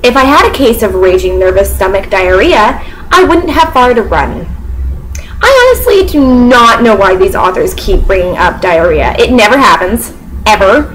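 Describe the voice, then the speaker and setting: A woman's voice: mid-range voice, sing-song, normal speed, a bit bright, slight background noise, rough recording. A young woman from the US Midwest with a mostly neutral American accent, casually reviewing a book chapter with sarcastic asides, fillers and frequent laughter. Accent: mostly neutral American, sometimes Southern.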